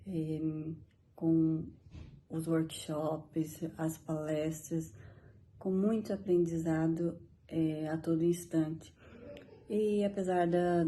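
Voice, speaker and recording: A woman gives a hesitant testimonial with drawn-out fillers. Her voice is low and very warm and dark. She speaks with little melody. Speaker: program participant. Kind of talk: video testimonial.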